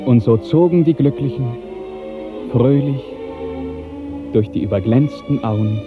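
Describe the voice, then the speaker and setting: Casting: male storyteller, medium pitch, formal and lyrical, narrow vintage radio sound with music underneath. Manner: lyrical, measured, formal diction. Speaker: narrator. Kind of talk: literary narration in 1950s German radio drama. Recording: tinny 1950s radio recording, music behind.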